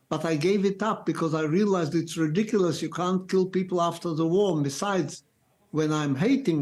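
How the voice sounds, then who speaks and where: Elderly man in his 90s, slightly high voice, measured storytelling pace. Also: darkish tone. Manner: slow, deliberate storytelling with restarts. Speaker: Holocaust survivor guest. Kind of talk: interview, personal testimony.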